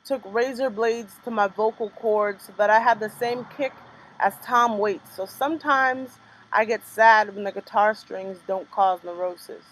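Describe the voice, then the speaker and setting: A young woman performing her own spoken word poems in a rhythmic, measured delivery, with casual chatty asides between pieces. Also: mid-range voice, a bit bright, poor audio. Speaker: poet performing her work. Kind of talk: spoken word poetry reading.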